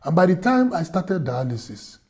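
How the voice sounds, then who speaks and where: A man from Nigeria telling his own story in a slow, halting, earnest way, with music under his voice. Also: high voice, very animated, a little mellow. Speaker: fundraiser subject. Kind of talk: personal testimony.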